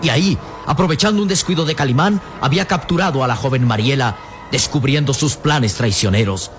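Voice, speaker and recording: A man's voice: mid-range voice, brisk, a bit bright. A man narrating an adventure radio serial from a script, grave, emphatic and theatrical. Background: dramatic music.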